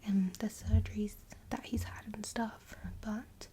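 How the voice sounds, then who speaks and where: A young woman whispering softly into a close mic as she chats through her makeup, hesitant and rambling with restarts. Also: mid-range voice, level tone, unhurried. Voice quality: soft unvoiced whisper. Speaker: beauty vlogger. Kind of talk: whispered ASMR makeup chat.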